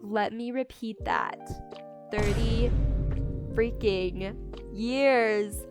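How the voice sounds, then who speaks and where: A woman's voice: on the high side, very slow. A young adult woman telling a casual storytime, candid and chatty, with lots of "like" and incredulous emphasis. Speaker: storytime creator. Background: background music.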